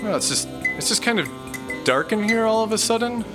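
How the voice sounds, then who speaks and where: A man's voice: sits high, lively intonation, fairly quick, over loud music. A man playing a timid, awkward young man who hesitates and stammers. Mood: hesitant.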